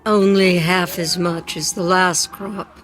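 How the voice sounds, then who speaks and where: Radio drama, resolute farm woman, plainspoken, unhurried delivery, patient, faint background noise. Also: lowish voice, flat delivery, a bit bright. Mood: resolute, soothing.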